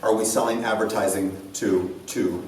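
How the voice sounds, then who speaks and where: A man co-hosting a talk about dance before a live audience, animated and laughing often, repeating his words. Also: fairly low pitch, normal speed, heavy reverb, recorded from a distance, slight background noise. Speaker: dance instructor and show co-host. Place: event room with a live audience.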